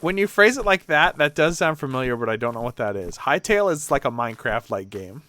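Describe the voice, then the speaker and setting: Male game streamer, animated and bright, casual rambling delivery with mild swearing. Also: medium pitch, brisk. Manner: rambling, self-interrupting, mildly sweary. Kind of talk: casual livestream gameplay chat.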